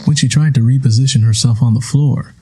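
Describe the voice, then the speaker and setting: A man reading scripted literary fiction as an audiobook narrator, in a measured, solemn storytelling manner. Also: mid-range voice, fairly even intonation, mellow, slight background noise.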